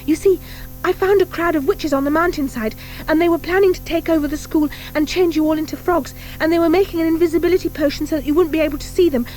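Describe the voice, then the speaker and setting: Woman playing a high, anxious schoolgirl witch. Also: quick pace, soft background music, rough recording. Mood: anxious, rushed. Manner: earnest, desperate, excitable. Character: eager, anxious young schoolgirl witch, pleading.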